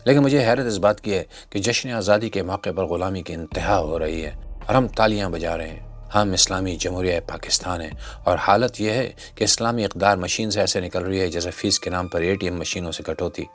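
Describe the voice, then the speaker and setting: A man's voice: sits low, brisk. A man delivering scripted social commentary in a rhetorical, admonishing, exhortative manner. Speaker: narrator and commentator. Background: background music.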